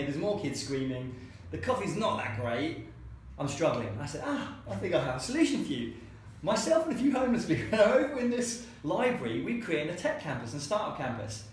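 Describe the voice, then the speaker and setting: A man, founder of a co-working space, telling stories in an interview in a chatty way and acting out the lines of the people he quotes. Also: fairly high pitch. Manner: chatty, acts out quoted dialogue. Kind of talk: anecdotal storytelling interview. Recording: very echoey room with faint hiss.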